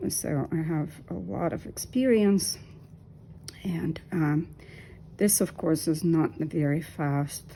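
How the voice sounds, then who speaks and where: Low, gravelly-voiced woman in her fifties. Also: warm tone, a little hiss or hum, low-quality recording. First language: Russian. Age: 50s. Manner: unhurried, hesitant with many ums. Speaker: knitting vlogger. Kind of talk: casual talk while knitting.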